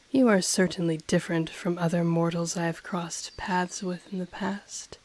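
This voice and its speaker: A woman voicing an ancient, haughty, teasing dragon in human form, in an intimate audio roleplay with a breathy voice that often drops to a whisper. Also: on the low side, a little mellow. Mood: teasing, softening. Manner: slow, deliberate, condescending, teasing. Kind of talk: intimate audio roleplay, scripted character acting.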